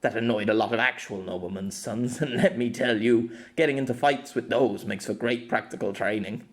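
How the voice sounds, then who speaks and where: A man's voice: mid-range voice, moderate pace, natural voice. A man voicing a cocky, teasing young former knight who speaks with wry self-deprecation in an amateur audiobook. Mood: wry, confiding.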